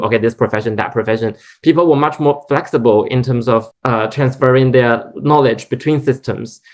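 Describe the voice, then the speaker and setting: Hesitant man asking a long academic question. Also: medium pitch. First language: Chinese. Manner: hesitant, many restarts and fillers. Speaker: audience member asking questions. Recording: dull lo-fi recording.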